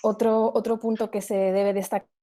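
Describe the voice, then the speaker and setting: Female webinar presenter, warm dark-toned voice, didactic explanatory delivery built around examples. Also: medium pitch, flat delivery, normal speed.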